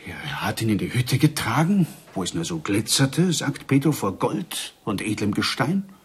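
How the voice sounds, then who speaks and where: A village storyteller in a radio drama, spreading marvels in a very rough, husky voice. The pitch moves freely as he builds suspense and lingers on each strange detail. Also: very animated, moderate pace, exaggerated voice. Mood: hushed, wondering. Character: excitable village storyteller passing on wondrous rumours. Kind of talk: German radio drama acting.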